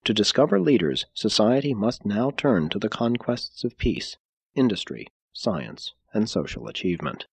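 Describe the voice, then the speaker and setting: Husky, expressive male audiobook narrator. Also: on the low side, moderate pace, no room sound. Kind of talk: formal scripted audiobook narration.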